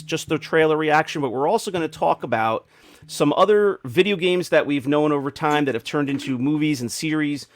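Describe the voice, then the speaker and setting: A man's voice: a little high, dry recording. A man hosting a casual podcast, talking informally to an audience and co-hosts. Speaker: host.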